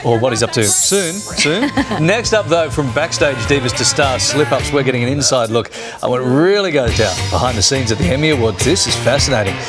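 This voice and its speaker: A man's voice: mid-range voice, animated, fairly quick, hardly any pauses, music in the background. An Australian man co-hosting a morning TV show, chatty and jokey as he presents and banters. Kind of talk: breakfast television presenting with playful banter. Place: TV studio.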